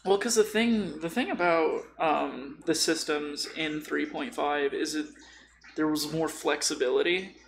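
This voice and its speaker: Higher-voiced man with a creaky edge. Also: unhurried, a little hiss or hum. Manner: joking, informal, mildly profane. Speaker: podcast host. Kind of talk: casual chat about tabletop gaming.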